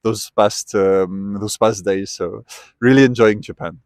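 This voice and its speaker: A man's voice: fairly low pitch, steady tone, a little slow, a little mellow, dry recording. A young man from Switzerland answering a street interviewer, thoughtful and full of restarts and repeated words. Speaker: tourist being interviewed. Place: outdoors at a memorial site.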